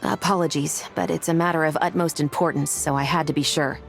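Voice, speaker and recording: A woman's voice: deep voice, fairly even intonation, a little fast, creaky. An actress playing a serious, cautious woman police investigator in a video game, speaking formally and in a businesslike way. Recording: music behind the voice.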